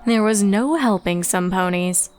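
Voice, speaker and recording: A woman's voice: medium pitch, unhurried, a little mellow, clean tone. A woman reading scripted storybook narration as the narrator of a fan fiction tale. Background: music.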